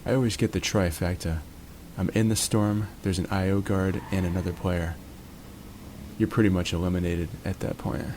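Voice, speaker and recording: A man's voice: low voice, fairly even intonation, normal speed, a little mellow, a little hiss or hum. A man hosting his own channel, casually narrating a match from home in a laid-back, jokey, chatty way. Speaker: gaming channel host. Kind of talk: relaxed gameplay commentary.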